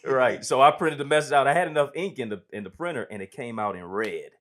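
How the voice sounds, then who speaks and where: A man being interviewed as a guest preacher, telling stories in a lively way and laughing often. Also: mid-range voice, fast. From United States. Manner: animated, laughs often, says "you know what I'm saying". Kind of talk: casual interview storytelling.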